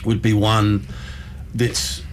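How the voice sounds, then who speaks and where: A man, a singer and musician, chatting casually on a radio show in a relaxed, rambling way. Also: on the low side, extremely slow, slight background noise.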